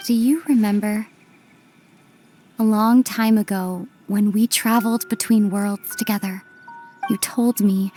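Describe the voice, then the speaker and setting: A woman playing a solemn, weary young princess and long-lost sister in a video game, softly and with measured delivery. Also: medium pitch, natural voice. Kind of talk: dramatic video game acting.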